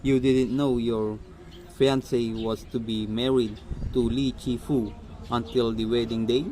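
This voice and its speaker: Man playing a slow, halting, self-important magistrate, noisy recording. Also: medium pitch, close to an everyday voice. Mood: commanding. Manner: halting, stumbling delivery with formal wording. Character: self-important scholarly Chinese district magistrate. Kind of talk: amateur stage-style radio drama reading. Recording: noisy amateur recording with steady background noise.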